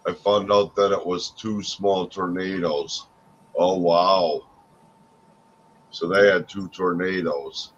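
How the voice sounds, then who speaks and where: An older man from Wisconsin with a deep, low voice chats in a slow, easygoing way on a livestream, cracking jokes and restarting his sentences. His sound is a little dull, with a faint hiss behind him. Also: fairly even intonation. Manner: laughs often, jokes, trails off and restarts. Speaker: livestream co-host. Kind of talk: casual chat.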